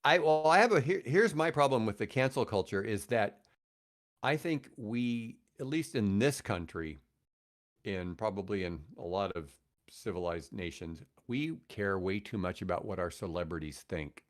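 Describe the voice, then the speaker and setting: A man's voice: lowish voice, animated, normal speed. A man chatting casually and giving his opinions on a podcast, emphatic and often repeating his phrases as he goes. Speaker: podcast guest, comedian.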